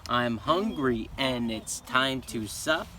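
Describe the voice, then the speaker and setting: A man voicing an eager, boastful, simple young villager in a storybook, given to chanting and shouting. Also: medium pitch, slow pace, slight background noise, voice pushed down. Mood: eager, scheming. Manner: excitable, sing-song chanting and shouting.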